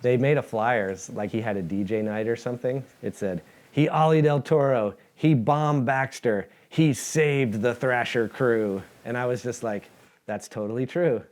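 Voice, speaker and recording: A man's voice: mid-range voice, unhurried, darkish tone. A man, a fellow skater, talking casually and reflectively.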